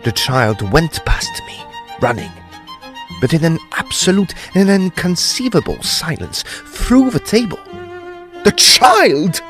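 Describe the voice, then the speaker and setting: A man's voice: fairly high pitch, wildly swooping pitch, slow, fairly bright tone, faint music behind, exaggerated voice. An actor playing a grave, intrepid ghost hunter, narrating his own ghost story in a theatrical, suspenseful way. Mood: awed, tense. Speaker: actor narrating an audio drama. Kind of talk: dramatic first-person ghost story narration.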